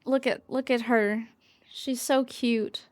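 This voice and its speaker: Casual young woman streamer, slightly high voice. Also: fairly even intonation, slow, darkish tone. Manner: informal, rambling asides. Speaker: game streamer. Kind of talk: casual gameplay commentary.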